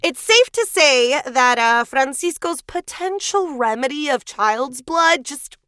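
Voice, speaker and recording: A woman co-hosting a podcast, telling a spooky legend in a playful, casual way with a raspy morning voice. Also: high-pitched, animated, slow, sharp tone, dry recording, clean studio sound. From Cuban family background. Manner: playful, laughs often, acts out quotes. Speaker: podcast co-host. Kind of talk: casual storytelling chat.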